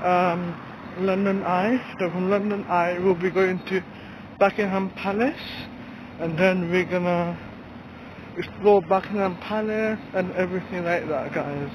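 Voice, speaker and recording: A man's voice: sits high, fairly even intonation, a little slow. A young man vlogging while he walks outdoors, talking into a phone held at arm's length and rambling with many restarts. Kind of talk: casual travel vlog. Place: outdoors on a city street. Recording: loud street traffic noise.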